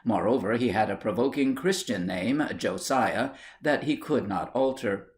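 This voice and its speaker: A man reading a comic period short story as scripted narration, in a measured, literary storytelling manner. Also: medium pitch, animated. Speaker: audiobook narrator.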